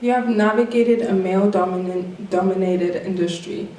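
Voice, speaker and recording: A young man with a high voice reads interview questions with very little pitch movement. The recording is roomy, with the mic some way off. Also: fairly even intonation, normal speed, a little mellow. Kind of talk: reading prepared interview questions. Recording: echoey room, distant mic, faint noise.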